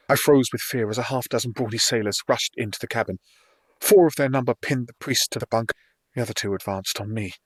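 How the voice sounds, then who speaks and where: A man's voice: lowish voice, fairly bright tone, slightly rough, dry recording. A man reading scripted fantasy fiction as a dramatic first-person audiobook narrator.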